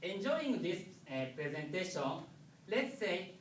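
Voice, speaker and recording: A man from Japan with a Japanese accent, a non-native English speaker giving a technical conference presentation with halting, pause-filled phrasing. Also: high voice, big pitch swings. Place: lecture room. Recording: echoey room, distant mic, faint noise.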